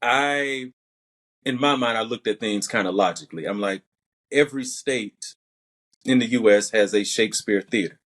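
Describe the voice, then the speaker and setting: A man's voice: on the low side, normal speed, a bit bright. Thoughtful man with a light Southern accent. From Alabama. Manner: thoughtful, methodical explanations. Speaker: actor and podcast guest. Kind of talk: conversational interview answers.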